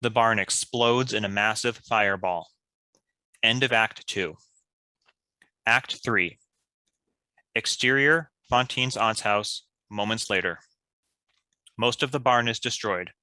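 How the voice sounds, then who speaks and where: Male script narrator, low-pitched yet very bright and forceful, reading stage directions. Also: slow, long pauses, no echo.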